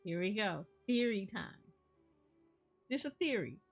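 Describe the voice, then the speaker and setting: A woman's voice: medium pitch, lively intonation, a little slow. A woman giving casual game reaction commentary, thinking aloud and often repeating herself. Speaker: gaming streamer. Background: game music.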